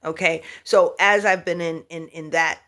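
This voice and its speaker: A woman's voice: sits low, steady tone, normal speed, pushed and bright. Playful woman laughing through a spiritual talk. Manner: playful, laughs often, hums and scats between thoughts; repeats and restarts words, pet names for the audience. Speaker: spiritual teacher. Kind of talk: casual spiritual talk to viewers.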